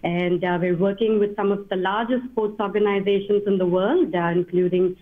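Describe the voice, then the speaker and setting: A woman scientist, a guest in an interview, answering in a measured, slightly halting way. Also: mid-range voice, fairly even intonation. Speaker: guest, machine learning scientist. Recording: tinny phone-like line.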